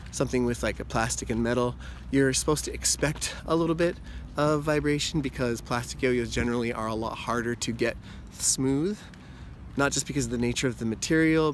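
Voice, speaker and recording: A man with a slightly hoarse, under-the-weather voice, talking casually and at a medium pitch. He explains things in a loose, rambling way, full of little asides. A faint hum of room ambience sits behind him. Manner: relaxed, rambling explanation with frequent "you know" and "like". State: hoarse from a cold. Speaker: yo-yo channel host. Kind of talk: casual explanatory talk to camera.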